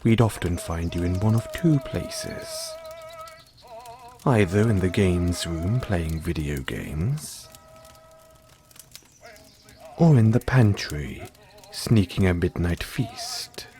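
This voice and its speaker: A man playing a polite, doting and gently teasing butler in an audio roleplay, speaking in a formal, measured way. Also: low voice, huge pitch swings, music in the background, full character voice. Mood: fond, reminiscing.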